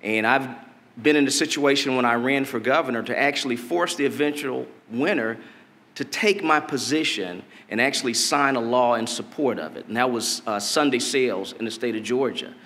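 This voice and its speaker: Man giving a measured speech in a reverberant hall. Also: medium pitch, a bit bright. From Detroit, Michigan. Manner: deliberate, measured delivery with emphatic rises. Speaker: political candidate. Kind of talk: campaign stump speech. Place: convention hall stage. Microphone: podium mic.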